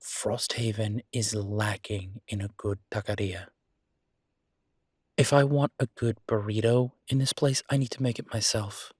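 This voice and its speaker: A man playing an easygoing, passionate restaurateur boyfriend in a conversational roleplay audio drama, husky and chatty with emphatic repetition. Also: on the low side, steady tone, measured pace, darkish tone, no echo, studio quality. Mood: enthusiastic, opinionated.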